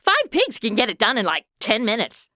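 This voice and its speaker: Woman playing a brash young woman, bossy, slangy, animated intonation, dry studio sound, comedy drama. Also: fairly high pitch, normal speed, no room sound, full character voice. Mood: eager, matter-of-fact. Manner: blunt, slangy. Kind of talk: comedic radio drama acting.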